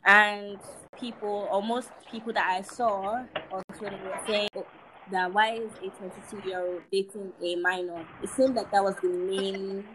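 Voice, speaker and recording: A woman's voice: mid-range voice, fairly even intonation, a little slow, noisy background. An eighteen-year-old woman, hosting a casual group podcast and steering it with teasing humor. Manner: playful, teasing, steers the talk. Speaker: podcast host.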